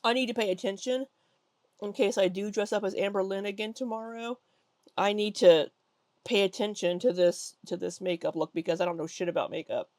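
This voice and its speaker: A woman's voice: medium pitch, brisk, darkish tone, no room sound. A woman with a stuffy nose giving chatty, critical reaction commentary over video clips, with lots of ums. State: congested. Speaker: commentary YouTuber.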